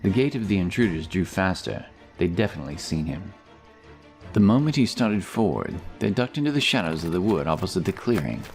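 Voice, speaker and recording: Deep, gravelly male fantasy narrator. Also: big pitch swings, a little fast, darkish tone. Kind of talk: scripted fantasy story narration. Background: music.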